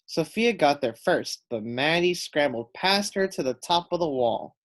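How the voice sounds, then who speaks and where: A man reading a children's picture book aloud with expressive, teacherly delivery and deliberate phrasing. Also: a little high. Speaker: read-aloud storyteller.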